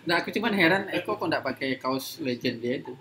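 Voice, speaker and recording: A man's voice: a little high, lively intonation, a little fast. A man in casual, bantering chat as a guest. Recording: loud crowd behind, live stream.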